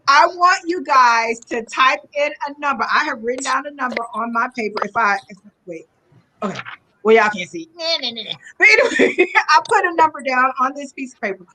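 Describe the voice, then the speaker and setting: An upbeat woman hosts a live online talk show, her voice bright and forward, pitched a little high. She keeps things affirming and laughs easily. A faint hiss sits under the line. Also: expressive, moderate pace, rough recording. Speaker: host.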